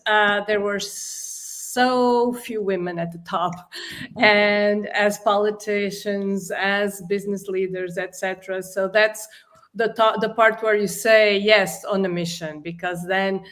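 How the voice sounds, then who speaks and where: A woman's voice: medium pitch, steady tone, slow pace, a bit bright. A woman from Portugal, a guest expert giving fluent, explanatory interview answers.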